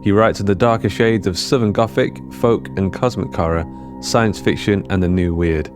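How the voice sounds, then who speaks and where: A man's voice: lowish voice, moderate pace, over music. A man reading a scripted podcast outro and credits as host.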